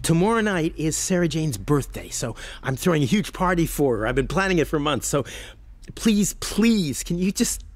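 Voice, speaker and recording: An actor playing an eager, chatty voice actor in a radio drama, halting and stammering as he talks. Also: a little high, very animated. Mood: pleading, nervous. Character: eager, nervous, chatty young male voice actor. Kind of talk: naturalistic radio drama acting.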